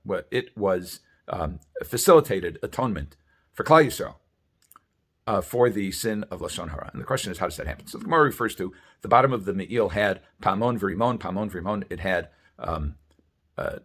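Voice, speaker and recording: Low-pitched man giving a halting sermon. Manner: halting, deliberate explanation with frequent hesitations. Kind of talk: religious sermon explaining scriptural sources.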